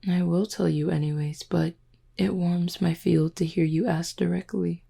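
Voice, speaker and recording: A woman acts out a flirtatious werewolf pack beta in an intimate audio drama. Her voice sits low and dark, with plenty of gravelly fry. She talks in a confident, teasing way, as if to one person. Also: fairly even intonation, moderate pace, exaggerated voice. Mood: flirty, sincere. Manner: teasing, flirtatious, smooth-talking.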